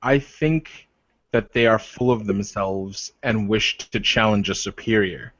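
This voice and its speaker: A man's voice: on the low side, measured pace, fairly bright tone, no room sound, dull audio. A man playing a proud, wounded werewolf war leader in a tabletop roleplay, speaking formally and defensively. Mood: stern.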